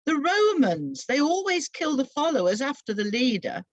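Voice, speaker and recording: Woman voicing an anxious, frightened man in hiding, raised pitch. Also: sing-song, normal speed, no room sound, lo-fi sound. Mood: fearful.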